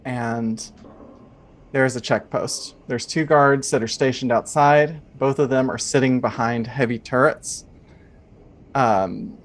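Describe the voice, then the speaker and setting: Man narrating a tabletop game, mid-pitched. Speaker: game master.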